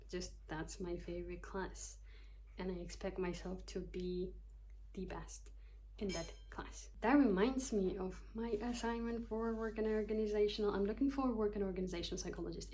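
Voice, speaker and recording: A woman's voice: medium pitch, darkish tone, a little hiss or hum. A young woman from Kyrgyzstan in her twenties, a psychology student, chatting casually to camera, joking at herself and loosely reading from her notes. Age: 20s. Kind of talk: casual talking-to-camera vlog.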